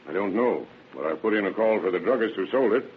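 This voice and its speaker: An actor playing a confident, dogged sheriff in an old radio drama, firm and matter-of-fact. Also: male voice, fairly low pitch, lively intonation, fast talker, a little hiss or hum, lightly acted. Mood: confident, brisk. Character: confident, dogged male sheriff, brisk and authoritative. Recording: tinny narrow-band vintage radio recording.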